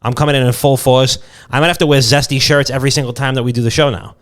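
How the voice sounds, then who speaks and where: A man's voice: mid-range voice, steady tone, quick pace. A man co-hosting a casual comedy podcast, rambling irreverently and repeating himself. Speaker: podcast co-host.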